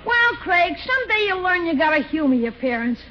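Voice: very high-pitched, very animated, fairly quick. A performer playing a bossy, wisecracking young boy in 1940s radio comedy, brash and slangy. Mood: brisk. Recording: muffled, hissy 1940s radio recording.

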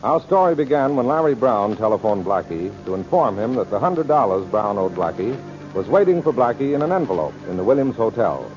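Radio drama narrator, male: mid-pitched, very animated scripted delivery, muffled vintage sound over music. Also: a little fast. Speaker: announcer narrator. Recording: muffled old radio recording.

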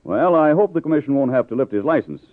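An actor playing a concerned, matter-of-fact police colleague in a 1950s radio crime drama. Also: male voice, medium pitch, lively intonation, quick pace, close to an everyday voice. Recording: tinny old radio broadcast.

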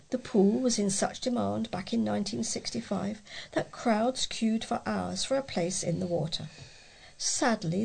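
A woman reading local news articles aloud as a volunteer reader, with a creaky, husky voice and a measured delivery. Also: mid-range voice, darkish tone, poor audio.

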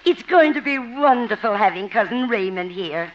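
A woman's voice: fairly high pitch, animated, moderate pace, lightly acted. Woman playing fond, fussing older mother. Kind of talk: 1950 radio comedy acting. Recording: muffled, noisy old radio recording.

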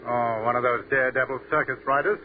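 An actor playing a precise, wry British army captain with a clipped, formal English accent, in 1940s radio acting. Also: male voice, mid-range voice, steady tone, quick pace, lightly acted. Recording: tinny crackly 1940s radio broadcast.

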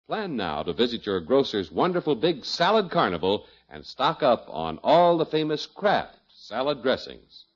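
A man's voice: mid-range voice, very animated, moderate pace, breathy edge. A man with an American accent working as a radio announcer, emphatic and salesmanlike in polished 1950s advertising style. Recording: muffled vintage 1950s radio recording.

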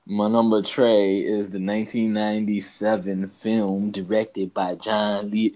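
A man's voice: lowish voice, little pitch movement, measured pace, a little mellow. A man co-hosting a podcast in casual chat, ranting with emphatic, slangy, repetitive phrasing. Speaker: podcast co-host.

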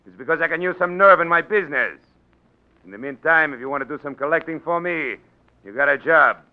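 An actor playing a tough, bullying big-city political boss in 1940s radio style, gruff and sardonic, talking in gangster slang. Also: male voice, a little high, animated, a little fast, lightly acted. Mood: sardonic, commanding. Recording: dull 1940s radio recording.

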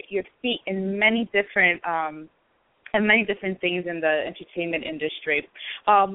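A woman's voice: lowish voice, no echo. A woman hosting her own interview show, talking casually with many hesitations. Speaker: show host. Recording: dry tinny phone-like line.

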